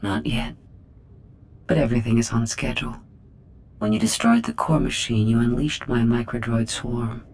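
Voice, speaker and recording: An actor voicing a cold, contemptuous artificial intelligence in a video game, speaking in a haughty, precise and formal manner with quiet menace. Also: mid-range voice, moderate pace, natural voice. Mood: coldly menacing.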